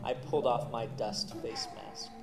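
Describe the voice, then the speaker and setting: A man narrating a first-person story in an expressive, dramatic way as host of a story show. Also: medium pitch, unhurried, over music. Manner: dramatic, animated on exciting parts.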